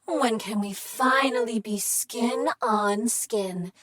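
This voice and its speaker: An actress voicing a clingy, jealous female crafting machine who flirts and purrs pet names in a breathy, seductive way. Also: a little high, takes it slowly, a bit bright. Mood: sultry flirtatious. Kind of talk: video game character dialogue.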